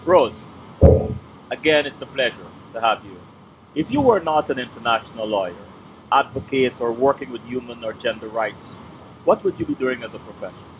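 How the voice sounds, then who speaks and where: A man hosts a formal interview in a measured, even voice that sits at a middle pitch. Behind him is a steady hum of air conditioning. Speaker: talk show host. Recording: noisy background hum.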